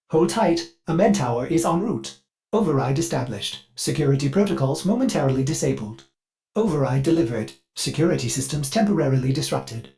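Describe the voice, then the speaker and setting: A man's voice: fairly high pitch, a little mellow. An actor voicing a polite, eager male ship AI servant in a video game, with a formal, mannered delivery. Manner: mannered, formal, refers to itself in third person. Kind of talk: scripted game character voice lines.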